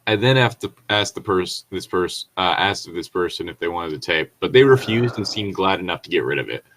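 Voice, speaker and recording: A man's voice: sits low, expressive, a little fast, a bit bright. A man giving casual commentary and reading aloud as a co-host, in a rambling, incredulous, sweary manner. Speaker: co-host reading and reacting to online horror stories.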